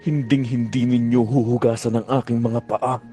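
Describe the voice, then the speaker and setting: An actor playing an earnest, impulsive disciple in an audio Bible drama, with an emphatic, reverent manner. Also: male voice, mid-range voice, level tone, moderate pace, dark tone, faint music behind, close to an everyday voice. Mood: insistent.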